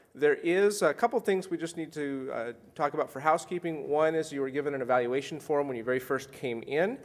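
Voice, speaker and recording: A man moderating a conference panel, giving housekeeping notes and introducing speakers with some ums. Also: fairly high pitch. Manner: orderly, housekeeping announcements with fillers. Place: conference session room.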